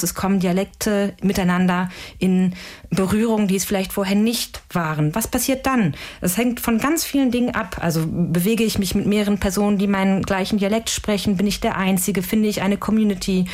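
A woman's voice: medium pitch, fairly even intonation, a little fast, darkish tone. A woman researcher giving careful, analytical answers in a radio interview, often framing points as rhetorical questions. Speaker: language researcher, interviewed expert.

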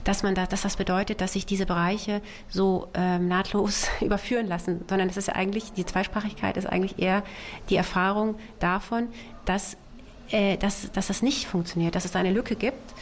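A woman's voice: mid-range voice, steady tone, a little fast, slight background noise. Hesitant, thoughtful woman novelist. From Germany, child of Soviet immigrants. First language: Russian. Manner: thoughtful, hesitant, many fillers and restarts. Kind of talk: literary interview.

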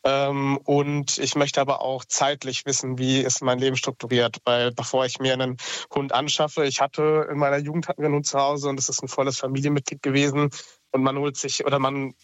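A young man in his late twenties calls in from his couch at home and talks things through in a relaxed, halting way, filling gaps with hesitations. His voice is mid-pitched and sits close and dry, with no room sound around it. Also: no echo, highs cut off. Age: 20s. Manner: hesitant, lots of filler sounds. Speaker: caller. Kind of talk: casual call-in chat.

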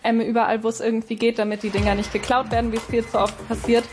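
A woman answering in a political debate, pausing often on ums. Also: on the high side, flat delivery, darkish tone, soft background music. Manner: frequent ums. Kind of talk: debate statement.

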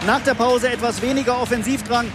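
A man's voice: very high voice, fairly even intonation, brisk, over music. A man reading an upbeat scripted sports report.

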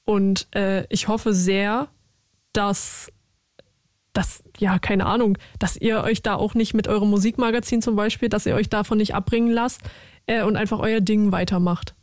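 A woman's voice: mid-range voice, normal speed, no room sound, clean studio sound. A young woman hosting a casual radio call-in show, chatty and slangy with her callers. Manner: chatty, lively, slangy and warm toward callers. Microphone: studio mic.

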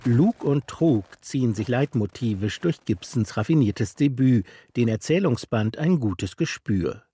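A man delivering a scripted radio book review in a measured, articulate way. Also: mid-range voice, expressive, warm tone, breathy edge. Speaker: literary critic reporter.

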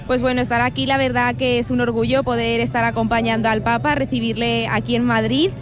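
A woman's voice: on the high side, flat delivery, fairly quick, over music. A young woman from Spain answering a street interview hesitantly, with many fillers. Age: young adult.

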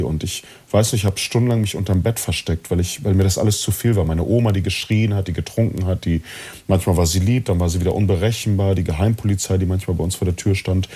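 A man from East Germany telling personal stories as an interview guest, reflective and halting, often repeating words. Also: fairly low pitch, warm tone, slightly rough. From born in East Germany, partly raised in Chile. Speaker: interview guest, actor and coach. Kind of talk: personal storytelling in conversation.

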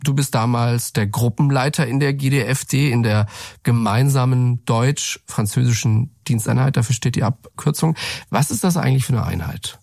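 A man's voice: medium pitch, moderate pace, vocal fry, darkish tone, no echo, clean studio sound. A man hosting an interview, putting questions to his guests in a conversational style. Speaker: host, interviewer. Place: studio.

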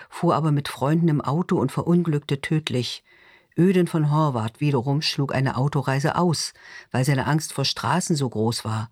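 A man's voice: fairly high pitch, no echo, clean studio sound. A man narrating literary fiction in a scripted audiobook reading style.

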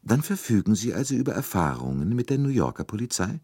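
A man voicing a shrewd, courteous gentleman detective, calm, deliberate and soothing, with bits of French, in an audiobook story. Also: on the low side, slightly rough, no echo, studio quality, voice pushed up, heavily acted. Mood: calm, probing.

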